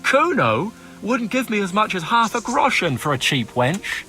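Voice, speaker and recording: A man's voice: high-pitched, sing-song, normal speed, pushed and bright, faint music behind, exaggerated voice. A man playing a cowardly, roguish young turncoat in a video game cutscene, whining, wheedling and foul-mouthed. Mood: pleading, scared. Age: young adult.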